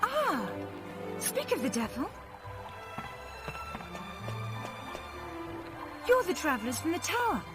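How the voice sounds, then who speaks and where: An actress playing a bold, bossy young woman adventurer in a video game, with an assertive, brisk manner. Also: extremely high pitch, sing-song. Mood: confident, brisk. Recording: game music behind the voice.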